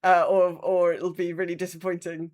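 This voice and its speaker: A woman's voice: on the low side, level tone, moderate pace, a little mellow. An English woman co-hosting a podcast in casual chat, hesitant and wry, often correcting herself. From England. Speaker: podcast co-host. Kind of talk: casual chat, reading listener updates.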